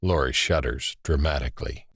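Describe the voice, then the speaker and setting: Male audio drama narrator, bottomless low pitch, dry close studio sound, deliberate, suspenseful. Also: creaky, a bit bright, husky, dry recording.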